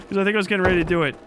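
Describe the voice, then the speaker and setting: A man livestreaming casually while gaming, chatting in a rambling, profane, slangy way. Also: sits high. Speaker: livestreamer reacting to a video. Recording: clearly noisy livestream audio with clicking.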